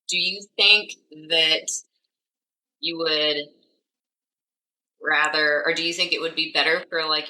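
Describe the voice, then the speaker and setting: A very bright-toned co-host talks casually on a podcast. The delivery is easygoing and a little hesitant, with pauses while thinking. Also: medium pitch, rough recording. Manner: easygoing, hesitant, with fillers and laughs. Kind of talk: casual chat.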